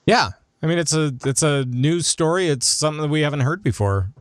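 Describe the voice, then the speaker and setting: A man's voice: fairly high pitch, lively intonation, fairly quick, no room sound, clean studio sound. A man hosting a casual gaming news podcast discussion, steering the conversation between co-hosts. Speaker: podcast host.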